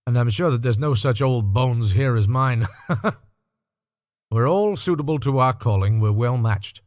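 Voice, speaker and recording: A man's voice: medium pitch, lively intonation, a little slow, darkish tone, no echo, clean studio sound, natural voice. A man voicing a shady, grasping old male dealer in a storybook reading, with garrulous, sly old-fashioned speech. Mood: welcoming, chuckling. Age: older.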